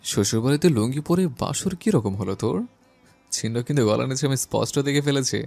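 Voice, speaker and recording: A domineering yet loving young husband, voiced by a man in an audio love story. His low voice is gravelly and lively, full of teasing and possessive swagger. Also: a little fast, soft background music. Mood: friendly. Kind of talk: audio story character acting.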